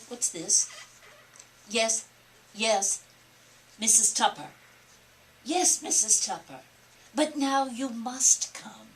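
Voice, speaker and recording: A woman's voice: medium pitch, a bit bright, rough recording. A woman acting an imperious, easily exasperated society hostess and mother in a theatrical, scolding manner at a play table reading. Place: library room. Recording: background noise, live room reading.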